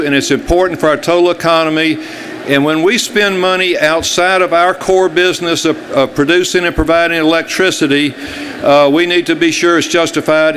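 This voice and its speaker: Plainspoken utility executive. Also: male voice, fairly high pitch, a bit bright, slight background noise. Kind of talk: formal speech at an event.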